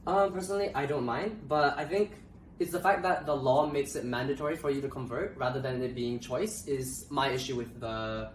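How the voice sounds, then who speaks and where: A man's voice: mid-range voice, normal speed, a little hiss or hum. Hesitant Malaysian man in an echoey room. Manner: hesitant, many filler sounds. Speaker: co-host. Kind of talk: casual panel discussion. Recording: roomy echo, mic at a distance.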